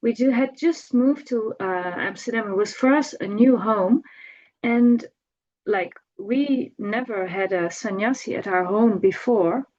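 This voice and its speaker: A middle-aged woman shares a personal remembrance in an informal way. Her voice sits at an ordinary pitch for a woman, and she speaks haltingly, searching for words and repeating phrases. The audio is dull and lo-fi. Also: darkish tone. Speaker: devotee giving a personal homage.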